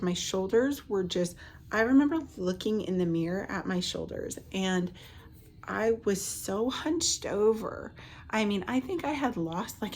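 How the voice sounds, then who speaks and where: A woman in her late thirties telling a personal health story casually and haltingly, her voice scratchy from the tail end of a cold. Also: mid-range voice, a little mellow. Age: late 30s. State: getting over a cold with a lingering cough. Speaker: vlogger, stay-at-home mother.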